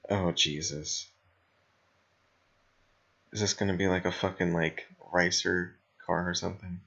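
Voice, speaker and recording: Young male podcast host, very low and raspy with vocal fry, blunt and foul-mouthed, chatting loosely. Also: level tone, a little slow, fairly bright tone, highs cut off. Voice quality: slightly raspy, gravelly. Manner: rambling, blunt. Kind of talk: casual chat, heavy swearing.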